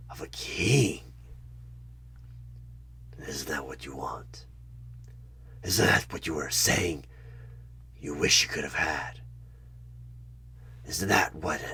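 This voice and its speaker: A man voicing a sly, coaxing demon recruiter in a husky whisper for a horror ASMR audio roleplay. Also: very deep voice, bright, forceful tone, slight background noise, exaggerated voice. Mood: seductive, coaxing. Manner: slow, drawn-out, insinuating, persuasive.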